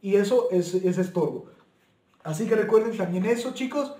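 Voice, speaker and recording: A man's voice: high voice, animated, normal speed, dark tone, echoey room. A man hosting an informal video tutorial, explaining things step by step and repeating and rephrasing his points. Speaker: YouTube channel host.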